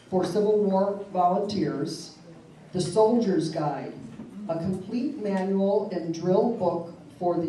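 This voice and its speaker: A woman's voice: mid-range voice, warm tone. A woman presenting gifts at a dinner, chatty, with fillers and laughs. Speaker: event organizer presenting gifts. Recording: echoey hall from far off, noisy.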